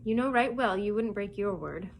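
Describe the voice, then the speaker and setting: A woman's voice: medium pitch, expressive, moderate pace, gravelly, slight background noise. A woman voicing an earnest, honourable boy in a storybook pirate tale, sincere and pleading.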